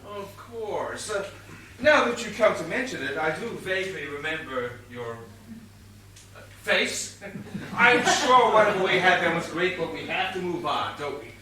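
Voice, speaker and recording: An actor playing a pompous, excitable, bumbling police inspector in a stage comedy, theatrical and blustering. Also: male voice, high-pitched, unhurried, full character voice. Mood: officious. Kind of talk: stage comedy murder mystery acting. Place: theatre stage, recorded from a distance. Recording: roomy distant stage recording, noisy.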